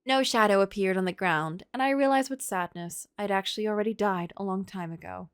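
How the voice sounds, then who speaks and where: A woman's voice: mid-range voice, brisk, clean tone, dry recording, close to an everyday voice. A woman voicing a tormented, self-loathing middle-aged male writer in a brooding, melodramatic literary game monologue. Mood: resigned despair. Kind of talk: dramatic first-person reading of game story.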